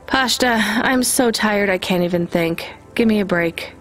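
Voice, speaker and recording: A woman acts a caring young female driver in a game drama. She speaks naturally at a middle pitch, a little weary, over faint music. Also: a little slow, a bit bright, close to an everyday voice. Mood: tired. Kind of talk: naturalistic video game acting.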